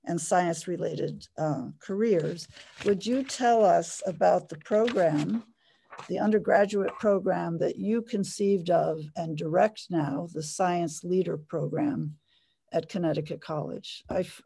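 An older woman hosting a library talk, asking the guest measured, polite questions over a video call. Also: fairly low pitch, vocal fry, warm tone, husky. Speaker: interviewer, library host. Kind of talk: interview questions. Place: library with bookshelves, indoors. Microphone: laptop video call.

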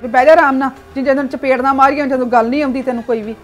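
A woman plays a sharp-tongued village mother in a comedy sketch. She scolds and nags her sons in a high, quick voice, with faint music underneath. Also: steady tone, close to an everyday voice. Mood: scolding. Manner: scolding, nagging.

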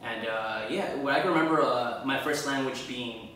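A man's voice: medium pitch, normal speed, a bit bright, slight background noise. A young man from Los Angeles, a guest actor, talking casually and laid-back with many fillers on a living room couch. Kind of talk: casual chat. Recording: echoey room, far from mic.

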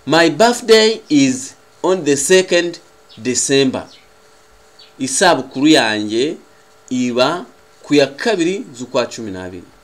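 A man with a Rwandan accent, a native Kinyarwanda speaker, teaching a language lesson in a deliberate, carefully enunciated way as he repeats phrases. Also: mid-range voice, very animated. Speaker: English teacher.

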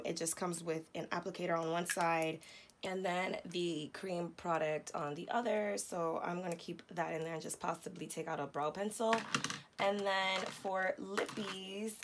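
A young woman beauty vlogger with a hoarse, raspy voice after losing it, chatting casually and slangily about makeup at home. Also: fairly low pitch, steady tone, unhurried, a little hiss or hum. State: hoarse, lost voice. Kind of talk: casual chatty product talk. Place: home beauty room, indoors.

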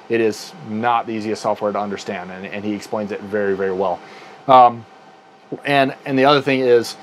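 A man in his twenties giving an informal technical explanation to camera as a presenter. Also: fairly low pitch. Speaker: presenter, broadcast engineer. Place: small broadcast truck control room, indoors. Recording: clear air-conditioning hum.

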